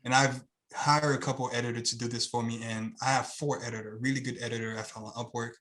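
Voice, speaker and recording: A man's voice: medium pitch, fairly even intonation, fast, fairly bright tone. Haitian-accented man, earnest and rambling. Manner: earnest, rambling, many fillers and restarts. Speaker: guest, channel owner. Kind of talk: casual video-call interview. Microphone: video call.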